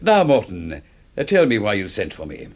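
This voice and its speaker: An actor playing an arrogant older aesthete villain in a radio drama, pompous, theatrical and grandly scornful. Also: male voice, lowish voice, wildly swooping pitch, normal speed, a little mellow, full character voice. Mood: sneering, amused. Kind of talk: suspense radio drama acting.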